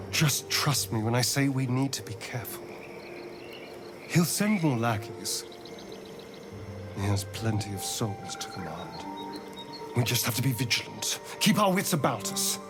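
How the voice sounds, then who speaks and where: Flamboyant, theatrical vampire over music. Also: male voice, mid-range voice. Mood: alarmed, urgent. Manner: arch, dramatic, drawling emphasis. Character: flamboyant, sardonic male vampire spawn, haunted by his master. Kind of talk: theatrical video game voice acting. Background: background music.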